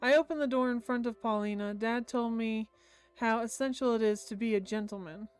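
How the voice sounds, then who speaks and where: A woman's voice: fairly high pitch, a little fast, darkish tone, clear, resonant tone, faint music behind. A woman game streamer reading a visual novel aloud, acting out the dialogue expressively and adding comments.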